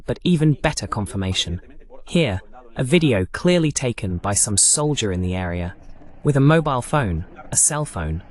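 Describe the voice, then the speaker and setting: A man delivers live news commentary as a host. His pitch swings widely and animatedly from phrase to phrase, and he drops in pointed, sardonic asides as he explains. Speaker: host of a political commentary broadcast.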